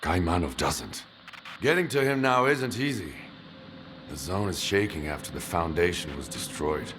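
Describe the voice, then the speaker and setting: An actor voicing a hardened veteran male stalker in a video game, speaking bluntly and gravely. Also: deep voice, expressive, normal speed, background noise. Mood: grim. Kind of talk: video game cutscene acting.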